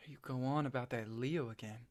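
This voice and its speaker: A man's voice: mid-range voice, natural voice. A man acting a hesitant farm boy. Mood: defensive. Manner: halting, hesitant, plain-spoken. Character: haunted, self-doubting rural farm boy confiding in his friend. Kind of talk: stage play acting.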